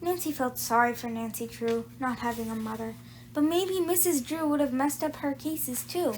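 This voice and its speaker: A woman reading a children's book aloud in an animated storytelling style. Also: sits high, moderate pace, slight background noise, poor audio.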